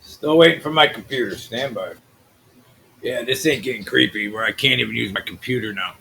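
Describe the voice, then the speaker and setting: A man from the US Midwest with a naturally deep voice, hosting a channel and talking loosely through his screen, trailing off into mutters. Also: a little fast, vocal fry, sharp tone, breathy edge. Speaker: channel host. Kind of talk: rambling screen-share commentary.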